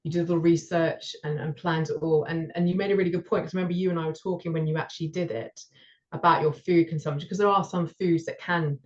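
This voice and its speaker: A woman's voice: low-pitched, steady tone, fast talker. A woman speaking as a guest in a casual chat, supportive and a little hesitant. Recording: dull, muffled call audio.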